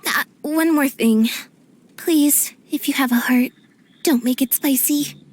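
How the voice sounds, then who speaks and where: An actress playing a fussy, pleading young traveler in a video game, dramatic, with noisy ambience. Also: female voice, high-pitched, slow, darkish tone. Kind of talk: video game cutscene voice acting.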